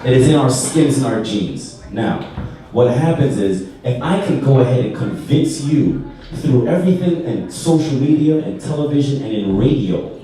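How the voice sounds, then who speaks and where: A man's voice: mid-range voice, dark tone. A man from New York, a panelist making an emphatic, lecturing argument at a live taped discussion. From New York, USA. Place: large echoey art venue, live taping. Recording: very roomy, distant live recording.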